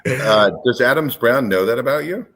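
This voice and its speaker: A man's voice: medium pitch, expressive, moderate pace, fairly bright tone. A man co-hosting a podcast, asking guests easygoing, joking questions. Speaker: podcast co-host. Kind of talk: casual chat.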